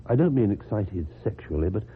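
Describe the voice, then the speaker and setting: An actor with a British accent playing a lonely, awkward, earnest man in a radio drama, speaking formally and haltingly. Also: fairly low pitch, expressive, close to an everyday voice. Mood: confessional, subdued. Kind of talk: old-time British radio drama acting. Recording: muffled, noisy old radio recording.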